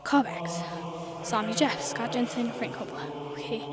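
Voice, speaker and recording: An actress playing a proud, theatrical teenage drama queen in an audio drama, haughty and deliberate, with a crowd behind her. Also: on the high side, animated, lightly acted. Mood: haughty, tense.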